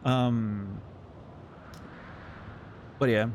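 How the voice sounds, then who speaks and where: A man's voice: on the low side, fairly even intonation, very slow, slight background noise. A man from Switzerland with a Swiss French accent, a native French speaker chatting casually with viewers while streaming a game, often restarting his sentences. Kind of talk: casual chat while gaming.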